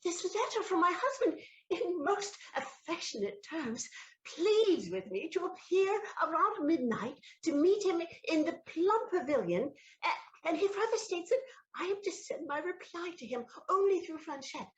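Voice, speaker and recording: A high-voiced noblewoman from a comic period audio play. She is romantic and scheming, and her delivery swings dramatically up and down, breaking into exclamations and pauses. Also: sing-song, lo-fi sound, heavily acted. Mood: excited, romantic. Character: theatrical noblewoman countess, scheming, romantic, betrayed wife. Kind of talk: stage comedy audio play acting.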